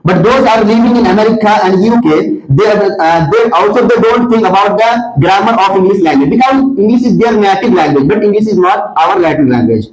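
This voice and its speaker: A man's voice: high-pitched, lively intonation, brisk, hardly any pauses, rough recording. A young man from India with an Indian accent, a senior student earnestly answering questions about learning English, full of fillers and restarts. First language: Hindi. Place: classroom.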